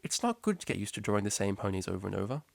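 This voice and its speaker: A man's voice: on the low side, very animated, rapid-fire, vocal fry, a little mellow, dry recording. A man voicing a kindly, politely chatty female pony art teacher in a story reading. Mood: friendly.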